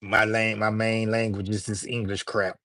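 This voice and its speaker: A man's voice: on the low side, flat delivery, normal speed, bright, forceful tone, no room sound, clean studio sound. A man from Alabama, hosting a livestream and chatting casually with his viewers in slangy talk. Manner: loose, slangy, talks straight to chat. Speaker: livestream host.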